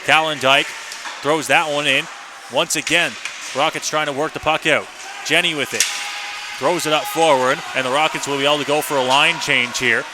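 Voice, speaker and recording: A man's voice: a little high, steady tone, moderate pace. Man calling hockey over arena noise. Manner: running description in clipped phrases. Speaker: play-by-play announcer. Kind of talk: live ice hockey radio commentary. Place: ice rink, live broadcast position. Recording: noisy live arena broadcast.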